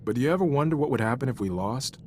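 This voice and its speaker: A man's voice: mid-range voice, animated, racing, darkish tone, a little hiss or hum, natural voice. A man playing an earnest, loyal Republic soldier in a video game, speaking frankly in an informal, sincere military manner. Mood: reflective, resolute. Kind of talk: cinematic video game voice acting.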